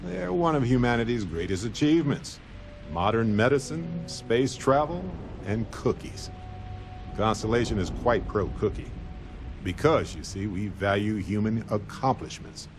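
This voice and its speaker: An actor playing a genial, wry veteran space explorer in a video game, chatty and reflective. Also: male voice, fairly low pitch, lively intonation, measured pace, over music. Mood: playful. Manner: conversational, playful, reflective. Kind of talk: scripted video game dialogue.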